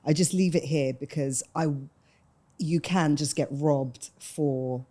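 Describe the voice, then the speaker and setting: A woman's voice: low voice, slow, dark tone. A woman in her forties with a London accent, chatting casually and telling personal stories as a podcast guest. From London, UK, of Sudanese parents. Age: 40s.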